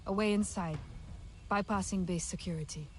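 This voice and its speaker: A woman playing a grave, wary alien clan ally in a video game, solemn and measured. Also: fairly low pitch, close to an everyday voice. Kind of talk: video game cutscene acting.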